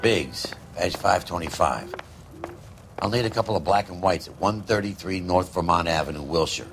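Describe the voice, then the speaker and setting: An American actor playing a gruff, world-weary, sardonic middle-aged cop in a 1940s crime game, gravelly, blunt and slangy. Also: male voice, sits low, moderate pace, music in the background. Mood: flat, businesslike. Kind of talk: video game dialogue, crime drama.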